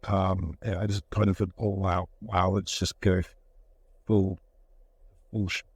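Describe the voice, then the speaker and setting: Male craftsman interviewee, unhurried and reflective, halting phrasing, casual tone, dry sound. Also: sits low, flat delivery, slightly rough, dry recording. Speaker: woodworker, craftsman being interviewed.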